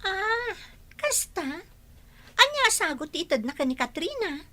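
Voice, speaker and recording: A woman's voice: extremely high pitch, very animated, unhurried, bright, forceful tone, exaggerated voice. A woman playing a sly, chatty young woman in an audio drama, coy and gossipy in manner. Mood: confiding. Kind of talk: melodramatic audio drama acting.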